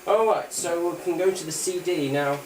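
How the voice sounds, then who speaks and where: A British speaker giving a casual hands-on demonstration of a gadget, thinking aloud in a hesitant, halting way. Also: mid-range voice, far from the mic, a little hiss or hum. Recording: roomy echo, off-mic, radio and music playing. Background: music from the player being shown.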